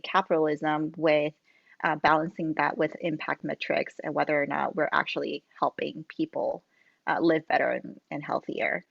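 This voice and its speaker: A woman's voice: sits low, gravelly, dry recording. Woman moderator, dull dry sound. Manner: earnest, filler-heavy. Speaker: panel moderator. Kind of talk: moderating a panel discussion.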